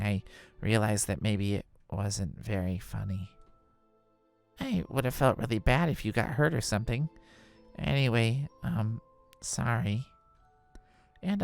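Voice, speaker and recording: A man voicing a sheepish, awkward boy in a visual novel, with halting delivery. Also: mid-range voice, creaky. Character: sheepish, awkward boy apologizing to his friend. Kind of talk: live visual novel voice acting.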